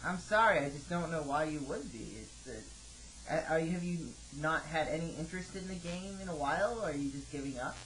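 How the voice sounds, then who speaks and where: A man in his twenties talking haltingly and questioningly, like a customer service caller on a phone call. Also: a little high, fairly bright tone. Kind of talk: hesitant phone conversation. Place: home office, indoors. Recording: noisy home computer microphone recording.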